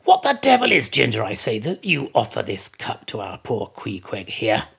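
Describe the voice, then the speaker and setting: A sarcastic, blustering whaling officer from a classic sea novel, acted out by a male reader. The voice is gravelly and husky, emphatic and theatrical, full of mocking indignation. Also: mid-range voice, wildly swooping pitch, moderate pace, fairly bright tone, exaggerated voice. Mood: sarcastic outrage. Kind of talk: dramatised classic novel reading.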